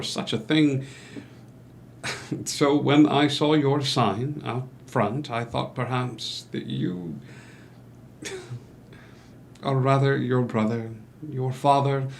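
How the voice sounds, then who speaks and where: Man acting courteous period doctor, unhurried, halting formal speech, subtly ingratiating, stage drama read, noisy background. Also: mid-range voice, animated, vocal fry. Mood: deferential, ingratiating. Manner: formal, halting, old-fashioned politeness. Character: courteous, hesitant male doctor newly arrived in town, subtly ingratiating. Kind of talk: musical theatre table read.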